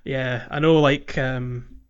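A man's voice: mid-range voice, steady tone, slow, clear, resonant tone. Man chatting with slightly dull audio. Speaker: podcast co-host. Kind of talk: casual gaming podcast chat.

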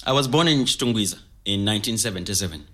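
A man's voice: lowish voice, animated, racing, fairly bright tone. An actor playing an earnest, reflective middle-aged Zimbabwean man recounting his childhood in an interview-style audio drama, with a Zimbabwean accent. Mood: matter-of-fact.

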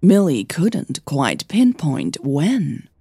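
A woman narrating scripted audio fiction in a gentle, deliberate storytelling manner. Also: sits low, very animated, breathy edge, no echo, clean studio sound. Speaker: narrator and podcast creator.

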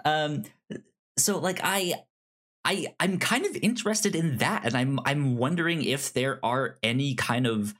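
A man co-hosting a casual film discussion podcast, speaking haltingly and often stammering over repeated syllables. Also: fairly high pitch, lively intonation, a bit bright. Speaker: podcast co-host.

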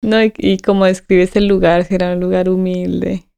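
Gravelly-voiced woman co-host. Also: fairly low pitch, level tone, normal speed, warm tone. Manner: encouraging, supplies missing words. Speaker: podcast co-host. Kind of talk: casual conversation, listening and prompting.

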